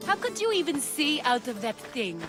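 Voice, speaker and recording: High-voiced, plucky girl. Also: big pitch swings, moderate pace. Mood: surprised, knowing. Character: rescued young daughter, sharp, trusting, plucky. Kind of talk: video game cutscene acting. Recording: prominent game music behind voice.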